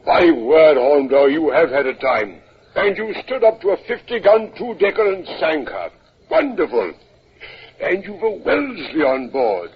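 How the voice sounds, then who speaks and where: An actor playing a hearty, commanding British admiral with clipped, jovial delivery in a 1950s radio drama. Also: male voice, on the high side, big pitch swings, normal speed, husky, full character voice. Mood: impressed, hearty. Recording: dull, noisy 1950s radio recording.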